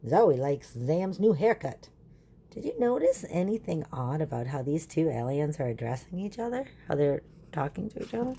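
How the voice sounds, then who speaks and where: A woman's voice: sits low, big pitch swings, brisk, vocal fry, few pauses, mellow, slightly rough. A woman giving a children's lesson and reading a storybook aloud, instructive and asking her listeners questions. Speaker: teacher reading a picture book aloud.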